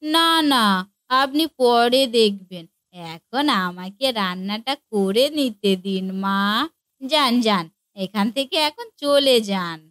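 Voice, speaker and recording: Woman playing a slow-talking naive young cartoon wife. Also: on the high side, lively intonation, fairly bright tone, dry recording. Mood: flustered, eager. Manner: slow, simple-minded, earnest delivery. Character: naive, eager young daughter-in-law, easily hurt. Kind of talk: Bengali family comedy cartoon dubbing.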